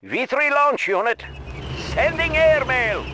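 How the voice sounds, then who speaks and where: A man's voice: very high voice, expressive, takes it slowly, very noisy, heavily acted. A man voicing a cocky, eager soldier unit in a war video game with exaggerated, barked lines. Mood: gung-ho.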